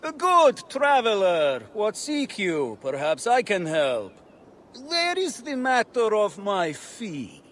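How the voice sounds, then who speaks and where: Mercenary wayside guide for a game: slow, theatrical and cryptic, lively pitch, faint noise behind. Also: male voice, full character voice. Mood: ingratiating. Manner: theatrical, cryptic, inverted phrasing. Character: sly, mercenary male guide hailing travelers. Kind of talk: video game character acting.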